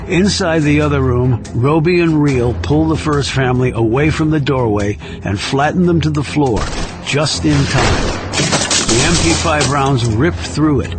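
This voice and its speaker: A man's voice: fairly high pitch, measured pace. A man reading a thriller audiobook as narrator, in a scripted storytelling style. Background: music under the reading.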